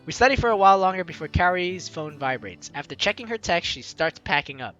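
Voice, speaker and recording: High-pitched, very animated man doing Let's Play. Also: brisk, dull audio, faint music behind. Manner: animated, jokey, theatrical. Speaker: gaming video host. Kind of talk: playful Let's Play commentary and dramatic read-aloud.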